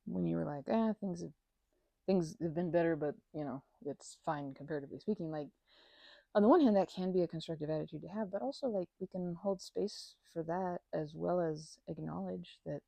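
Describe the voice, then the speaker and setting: A woman as a low, breathy, scatterbrained best friend. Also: mellow, dry recording. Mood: earnest. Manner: rambling, halting, self-interrupting, giggly. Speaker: ASMR roleplay performer. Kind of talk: ASMR roleplay, casual intimate chat.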